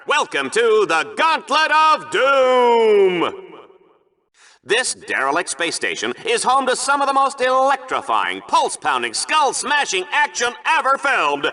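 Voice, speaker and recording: A flamboyant video game bloodsport host with a piercing, very bright voice. He pitches high and swings wildly up and down. Every line comes out as bombastic, showy sports hype with a smarmy streak. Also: huge pitch swings, unhurried, heavily acted. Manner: bombastic, showy announcer delivery. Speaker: game show commentator. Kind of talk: over-the-top sports commentary.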